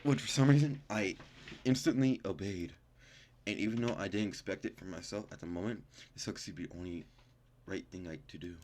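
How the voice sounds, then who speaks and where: A man's voice: sits low, brisk, darkish tone, slight background noise. A young man hosting a gaming video, casually reading game text aloud and commenting in slangy, informal speech, stumbling over words as he reads.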